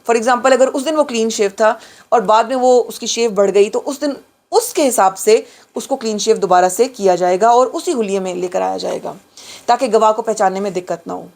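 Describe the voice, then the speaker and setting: A woman's voice: on the high side, creaky, a little mellow. A middle-aged Pakistani woman giving an explanatory lecture, emphatically repeating and rephrasing key points and mixing in English phrases. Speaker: lawyer giving a law lecture.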